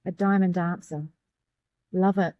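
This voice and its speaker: A woman with a mellow, mid-pitched voice hosts an interview. She asks long questions and offers encouraging affirmations. The sound is dry and close. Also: fairly even intonation, a little slow, no echo, highs cut off. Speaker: podcast host. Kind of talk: interview hosting.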